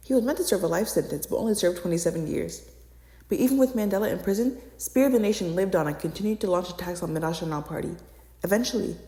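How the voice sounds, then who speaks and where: Fast-talking young woman narrator, very echoey. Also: lowish voice, warm tone. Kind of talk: scripted educational narration. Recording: echoey, reverberant room.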